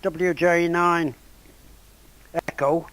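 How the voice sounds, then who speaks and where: Male, 80s or older, unhurried radio amateur, higher voice failing from a cold, hesitant delivery. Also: level tone, fairly bright tone, no echo. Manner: hesitant, halting. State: voice going from a cold. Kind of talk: casual ham radio contact. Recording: ham radio contact over internet app.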